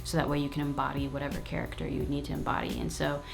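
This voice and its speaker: A woman's voice: sits low, flat delivery, racing, creaky, soft background music. A woman hosting her own video channel, answering viewers' questions in a frank, casual, slangy way. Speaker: YouTube host. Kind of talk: casual Q&A vlog.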